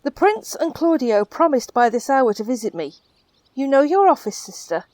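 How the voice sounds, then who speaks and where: An actress playing an authoritative noblewoman and mother in a Shakespeare radio play, speaking in a measured, commanding way. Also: high voice, big pitch swings, darkish tone, a little hiss or hum. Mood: composed, commanding. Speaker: actor in an audio Shakespeare play.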